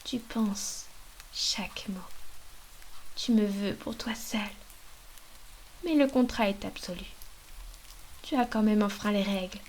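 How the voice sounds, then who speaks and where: A woman's voice: mid-range voice, rough recording. Woman whispering as a seductive vampire mistress. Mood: possessive. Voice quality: breathy whisper. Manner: coaxing, slow and possessive. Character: seductive manipulative adult female vampire mistress. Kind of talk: ASMR roleplay. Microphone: binaural dummy-head mic.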